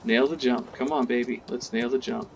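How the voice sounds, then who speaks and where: A man hosting a casual gameplay tutorial, chatting in a relaxed way as he plays, with game sounds faintly behind. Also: mid-range voice, normal speed, creaky, a little hiss or hum, poor audio. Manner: relaxed, chatty, talks while playing. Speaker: gaming channel host.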